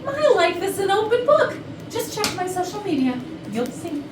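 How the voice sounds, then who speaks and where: A woman's voice: extremely high pitch, sing-song, normal speed. A woman playing a brash, defiant, lovesick office party girl in a staged comedy reading, with dramatic, indignant delivery. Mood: defensive. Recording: echoey room, distant mic, clear noise.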